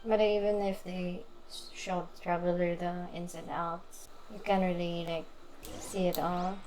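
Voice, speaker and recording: A woman giving casual, low-key commentary in brief reactions while streaming a video game. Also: flat delivery, unhurried, a little mellow, a little hiss or hum, low-quality recording. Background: game music.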